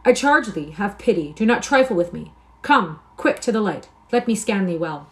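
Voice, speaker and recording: A guarded young nobleman, read by a woman, talking in a soft, mild tone and old-fashioned phrasing. Her voice sits at a middling pitch, as in a storybook reading. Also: moderate pace, natural voice. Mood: astonished.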